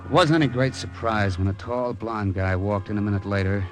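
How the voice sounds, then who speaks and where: Old radio, loud music bed. Male actor as cynical American tough guy, lowish voice, slangy hard-boiled delivery. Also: brisk, natural voice. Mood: tense narrating. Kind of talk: 1940s radio drama acting and first-person narration.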